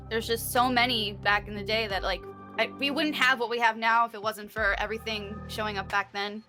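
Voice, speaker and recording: A woman chatting casually and reminiscing in an expressive, giggly, chatty manner. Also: on the high side, moderate pace, music in the background. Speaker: guest singer.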